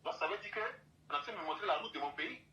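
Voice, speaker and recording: A man's voice: on the high side, very fast, bright, forceful tone. A man from Côte d'Ivoire, speaking as a guest in an impassioned talk and repeating and restating his phrases for emphasis.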